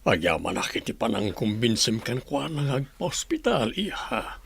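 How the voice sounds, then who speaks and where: A man's voice: mid-range voice, sing-song, moderate pace, gravelly, fairly bright tone, slight background noise. A man playing a caring but exasperated grandfather in an Ilocano comedy radio drama, with an Ilocano accent. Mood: concerned. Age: older.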